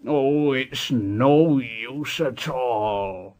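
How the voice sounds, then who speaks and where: A man voicing a big, proud, grumpy steam engine in a storybook, with slow, pompous, drawn-out speech. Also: medium pitch, a little mellow. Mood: cross, sulky. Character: big, proud, cross, self-pitying male steam engine.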